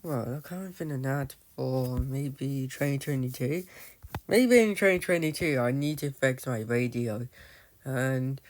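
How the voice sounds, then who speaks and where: A man's voice: mid-range voice, a little slow. A man giving casual, rambling commentary on his game, often losing his thread and calling out to viewers as "guys". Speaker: gamer narrating his play.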